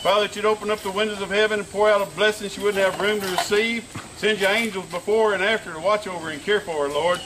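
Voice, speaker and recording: A man's voice: high voice, steady tone, fast talker, few pauses, noisy background. A man leading an outdoor baptism and praying fervently over the people he baptizes. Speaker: preacher baptizing. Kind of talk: baptism prayer.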